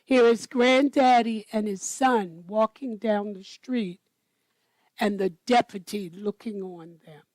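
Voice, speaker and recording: A woman storyteller reading a children's picture book aloud in a deliberate manner. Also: medium pitch, darkish tone, no echo, low-quality recording.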